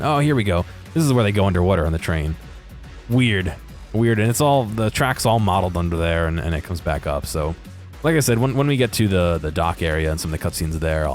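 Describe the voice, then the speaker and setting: A man with a low voice narrates video game footage in an offhand, unscripted way. He muses aloud and hesitates as he works out what to say. Music plays behind his commentary. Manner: hesitant, musing, thinking aloud. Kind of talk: casual unscripted gameplay commentary.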